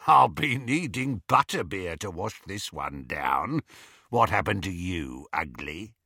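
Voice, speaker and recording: A savage werewolf thug from a storybook. The voice is a low, rasping growl that drags slowly over words, gloating and menacing. It sounds close and dry. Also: male voice, very animated, bright, forceful tone, no echo, full character voice. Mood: gruff bullying. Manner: slow, relishing, menacing.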